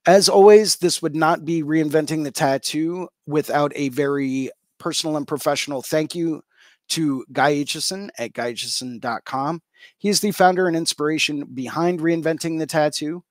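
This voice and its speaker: Man, show host, upbeat, lots of ums, slightly high voice, dry close sound. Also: normal speed, no echo. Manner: upbeat, peppered with ums and uhs. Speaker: podcast host. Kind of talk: casual interview show with sponsor reads.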